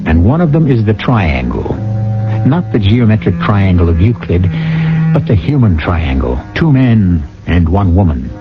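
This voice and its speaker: A man hosting and narrating a radio mystery from a script, in a measured, grandly rhetorical manner. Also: deep voice. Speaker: host and narrator. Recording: dull vintage radio, loud music.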